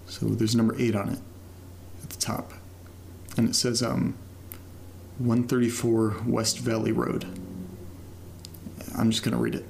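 A man's voice: lowish voice, little pitch movement, measured pace, vocal fry, long pauses, a little mellow, slight background noise. An actor playing an uneasy man documenting his new house in a found footage horror audio drama, hesitant, muttering and sweary. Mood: puzzled.

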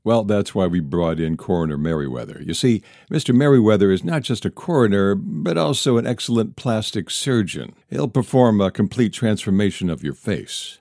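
A composed male police official played by an actor in a crime audio drama. His voice is fairly low and expressive in pitch, calculating and persuasive, recorded dry. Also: normal speed, gravelly, few pauses, no room sound.

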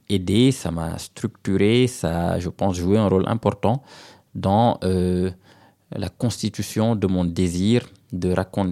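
A man in his thirties from Senegal, whose first language is Serer, answering interview questions in a measured, hesitant way with frequent fillers and restarts. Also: low voice, creaky, dark tone. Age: 30s. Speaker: guest author.

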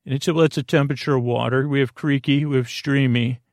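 A male podcast host with a gravelly, creaky voice at a middling pitch. He rambles through a bedtime monologue, halting and wandering off on tangents. The sound is close and dry. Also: steady tone, dry recording. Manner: meandering, halting, digressive.

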